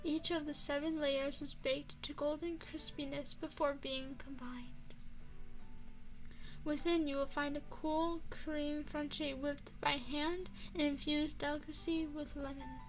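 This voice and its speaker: A woman voicing a courteous female vampire chef and restaurant owner in an ASMR roleplay, speaking in a formal, measured, refined way. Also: high voice, fairly even intonation, soft background music, rough recording. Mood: savoring, refined. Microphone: binaural microphone.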